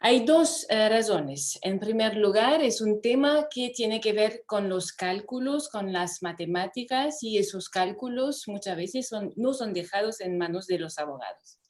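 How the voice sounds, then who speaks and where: French-accented woman, law professor, online lecture, measured with frequent pauses. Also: medium pitch, animated, darkish tone. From France. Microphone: computer mic over video call.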